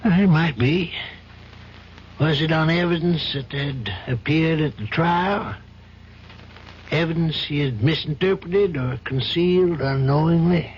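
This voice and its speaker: An actor playing a calm, patient older judge in a radio drama, speaking in a measured, fatherly way. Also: on the high side, close to an everyday voice. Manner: measured, fatherly, gently probing. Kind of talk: 1970s radio drama acting. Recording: dull old radio broadcast, faint hiss.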